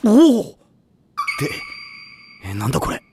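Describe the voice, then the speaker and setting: Casting: a boisterous high school jock, very animated pitch, anime audio drama. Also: male voice, unhurried, a little hiss or hum, full character voice. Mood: puzzled. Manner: boisterous, casual rough speech. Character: brash, rowdy teenage boy volleyball player.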